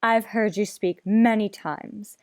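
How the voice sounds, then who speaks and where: A genteel literary society matron from an old radio comedy, played by a woman, effusive and very proper. Her voice is mid-pitched and recorded dry and close. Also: takes it slowly, dry recording, lightly acted. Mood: earnest.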